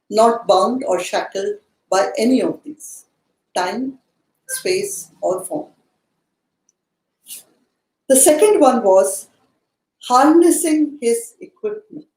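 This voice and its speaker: A woman hosting a book study, speaking in a measured way as she sums up points and poses questions. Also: fairly high pitch, a little mellow. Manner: measured, summarizing and posing questions. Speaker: study session host. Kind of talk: guided book study discussion. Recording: echoey, roomy sound.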